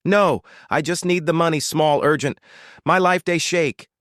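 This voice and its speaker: A man's voice: a little high, a little slow, fairly bright tone, no room sound, clean studio sound, voice pushed up. A man with a Nigerian accent voicing a boastful, image-obsessed young female student in a storytelling, with showy slang. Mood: pleading.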